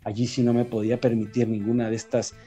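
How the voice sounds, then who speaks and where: A man with a Mexican accent, a writer answering interview questions in a reflective, halting way with frequent restarts. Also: medium pitch, little pitch movement, dark tone, faint music behind. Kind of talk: conversational interview.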